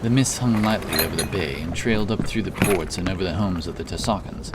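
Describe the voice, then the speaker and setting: Casting: male epic fantasy narrator, low voice, deliberate and dramatic, set back from the mic under loud music. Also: distant mic, very noisy. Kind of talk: scripted fantasy audiobook narration.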